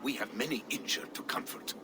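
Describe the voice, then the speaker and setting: An actor playing an older, earnest Viking healer and adviser who scolds the young lords. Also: male voice, mid-range voice, fairly quick, close to an everyday voice. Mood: grave. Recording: game cutscene with ambient noise.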